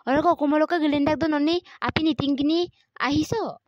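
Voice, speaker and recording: A woman's voice: sits high, extremely slow, darkish tone, a little hiss or hum. A woman voicing a chatty village woman in an amateur cartoon. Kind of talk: amateur cartoon voice-over dialogue. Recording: muffled lo-fi sound with roomy echo.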